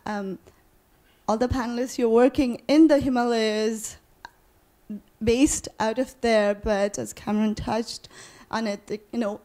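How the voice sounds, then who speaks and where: A woman's voice: fairly high pitch, fairly even intonation, a little slow, dark tone. A woman from Nepal asking a panel a question from the audience, informal and hesitant, often saying "like".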